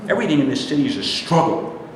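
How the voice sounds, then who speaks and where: A man's voice: sing-song, quick pace. A man playing a bitter, griping, foul-mouthed older army veteran in a staged play reading. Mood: weary despair. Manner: aggrieved, profane ranting. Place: indoor live staged reading. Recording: echoey hall, distant mic, rumbling noise.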